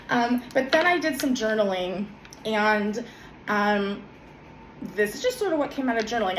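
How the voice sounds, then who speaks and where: A woman, a little hoarse from a cold, talking gently with many pauses and self-corrections, then reading her own journal. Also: medium pitch, echoey room, slight background noise, low-quality recording. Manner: soft, hesitant, many ums and self-corrections. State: slightly hoarse from a summer cold. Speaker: vlogger.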